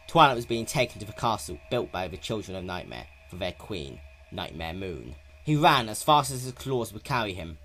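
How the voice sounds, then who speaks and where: A man with a fairly low voice narrates a story dramatically. His pitch moves around widely, and there is faint noise in the amateur recording. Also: fairly bright tone, low-quality recording.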